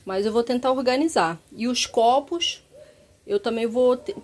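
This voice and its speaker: A Brazilian woman in her thirties talks through her kitchen cleaning in a relaxed voice-over. Her voice sits a little high, and she rambles, repeating words and tossing in little tag questions. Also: a little slow. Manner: chatty, repeats herself, frequent tag questions. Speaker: home organization vlogger. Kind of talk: casual voice-over narration.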